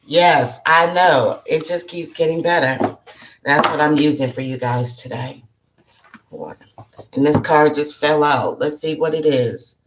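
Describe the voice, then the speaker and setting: Woman host, low and unhurried, froggy from allergies, casual live-stream chatter with audible background noise. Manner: chatty, greets viewers by name, clears throat often. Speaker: psychic life coach hosting a live show.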